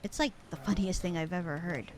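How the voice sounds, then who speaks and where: A woman playing a bored, sarcastic, slightly flirty secretary in a casual ASMR audio roleplay, with a dry, deadpan, teasing delivery. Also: lowish voice, fairly quick, background noise, close to an everyday voice. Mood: dry, indifferent. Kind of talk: ASMR roleplay acting, casual one-sided conversation.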